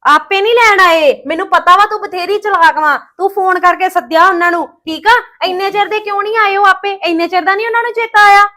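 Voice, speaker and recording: An actress playing a quarrelsome young daughter-in-law in a family drama, accusing and argumentative. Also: female voice, very high voice, fairly quick, hardly any pauses, sharp tone.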